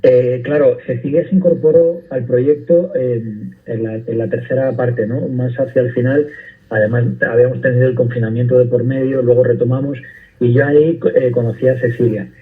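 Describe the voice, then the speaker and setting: A man's voice: mid-range voice, fairly even intonation, normal speed. A man, an actor being interviewed on the radio, explaining his work thoughtfully at length with small restarts. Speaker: actor guest. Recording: phone-like line.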